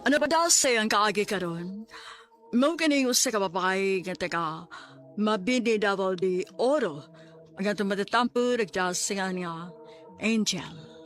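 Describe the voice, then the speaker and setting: Slow woman announcer over music. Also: medium pitch. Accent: Cebuano Filipino. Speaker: radio drama announcer. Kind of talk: scripted dramatic narration. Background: loud music.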